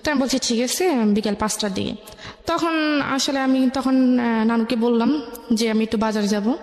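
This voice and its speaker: Female interview guest, Bangladeshi Bengali accent, fairly high voice, recounting her own story in a reverberant, echoey space. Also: normal speed, a little mellow. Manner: recounts conversations as reported dialogue. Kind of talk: personal storytelling in a radio interview. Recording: echoey room with long reverb.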